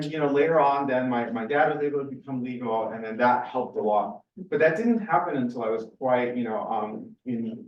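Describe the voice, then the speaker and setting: A man in his forties from California speaks as a scientist giving an informal, personal lecture in a conversational way, often correcting himself. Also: medium pitch. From California, USA. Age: 40s. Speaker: research scientist giving a seminar. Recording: roomy, distant, muffled lecture recording.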